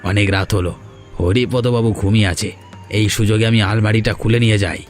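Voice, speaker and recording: A man voicing a scheming young son in a cartoon, sly and quick to stammer when caught. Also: fairly low pitch, steady tone, soft background music, lightly acted. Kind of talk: Bengali cartoon dubbing.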